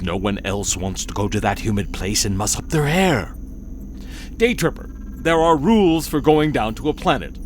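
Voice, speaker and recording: An actor playing a vain, bored alien starship captain in a comedic audio drama, with a pompous, languid, fussy delivery. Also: male voice, mid-range voice, slight background noise. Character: vain, bored male alien spaceship captain obsessed with grooming.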